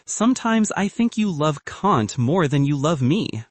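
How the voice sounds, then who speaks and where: Man voicing a gentle, concerned young girlfriend. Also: a little high, very animated, measured pace, no echo, voice pushed up. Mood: affectionate. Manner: gentle, probing. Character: affectionate, concerned young woman, a caring girlfriend.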